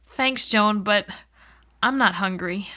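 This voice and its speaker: A woman's voice: medium pitch, slow pace, sharp tone. A woman playing a worried, downcast wife in soap-opera dialogue for English learners, enunciating carefully. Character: worried, downcast wife fearing her husband's affair.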